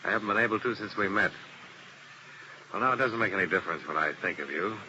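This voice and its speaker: A man's voice: low-pitched, fast talker, sharp tone. A man playing a tough, world-weary insurance investigator in 1950s radio detective style, clipped and terse. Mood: stern, pressing. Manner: clipped, hard-boiled, terse. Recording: noisy old radio broadcast recording.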